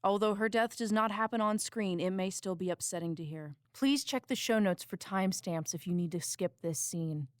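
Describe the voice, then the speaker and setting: A woman's voice: medium pitch, normal speed, few pauses, no room sound, studio quality. A woman reading a scripted content warning as an announcer, careful and considerate.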